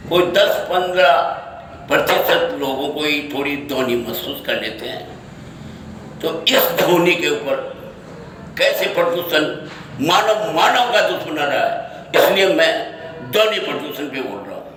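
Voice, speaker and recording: A man speaks slowly in a very echoey space, some way from the microphone. He delivers an off-the-cuff monologue in short, broken phrases, circling back to repeat his words. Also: on the high side, expressive. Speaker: social media speaker giving his opinion. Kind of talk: unscripted opinion monologue. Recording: echoey, distant mic, faint noise.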